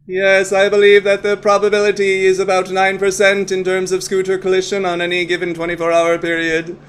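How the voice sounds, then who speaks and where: A man's voice: high voice, completely flat delivery, brisk, smooth, close to an everyday voice. A man playing an eager, naive alien posing as a human in a comedy sketch, pompous and over-formal, often muddling his words. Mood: earnest. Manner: stiff over-formal wording, muddles words and corrects self. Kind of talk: comedy audio sketch acting.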